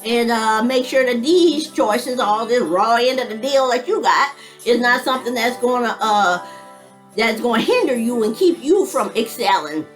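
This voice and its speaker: A woman's voice: on the high side, lively intonation, moderate pace, faint music behind, low-quality recording. Rambling fifty-something woman vlogger. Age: 50s. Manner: rambling, restarts often, laughs between thoughts; folksy informal speech. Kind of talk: casual personal monologue.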